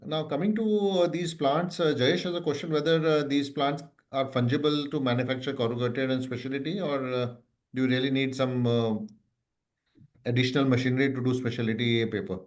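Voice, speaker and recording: A man with an Indian accent moderating an earnings call, relaying investor questions in halting phrases. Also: medium pitch, fairly even intonation. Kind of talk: business question-and-answer call.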